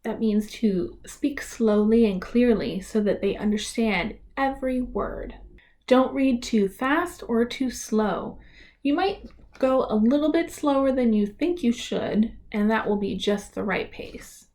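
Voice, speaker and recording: Slow, higher-pitched woman presenting. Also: darkish tone. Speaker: presenter of a library reader's theater tutorial. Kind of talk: instructional presentation for families.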